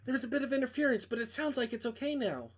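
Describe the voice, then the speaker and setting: A man voicing an anxious, self-conscious young woman in a visual novel, hesitant and halting. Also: very high voice, pitched above the performer's own voice. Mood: relieved. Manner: hesitant, halting, brushing things off.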